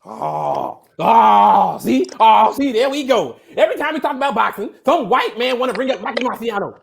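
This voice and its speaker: A man playing a contentious, loud old barber who argues emphatically in slangy speech. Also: extremely high pitch, very animated, normal speed, vocal fry, heavily acted. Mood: indignant.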